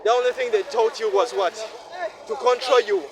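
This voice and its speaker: A man in his twenties from Uganda, talking to himself in a repetitive, preachy monologue while walking a city street at night, sweaty from walking fast. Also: high voice, a crowd in the background. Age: 20s. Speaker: vlogger. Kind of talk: rambling night-walk monologue.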